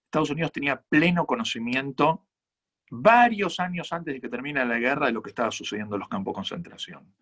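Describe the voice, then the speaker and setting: A man with an Argentine accent, a rabbi giving earnest, measured answers to audience questions at a conference talk. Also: medium pitch, lively intonation, a bit bright. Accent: Argentine Spanish. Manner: earnest, measured, reflective explanations.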